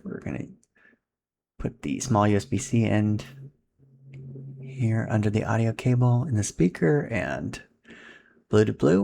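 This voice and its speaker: A man's voice: lowish voice, creaky, darkish tone. A man giving a casual, step-by-step setup tutorial in an informal, instructional way as he handles equipment.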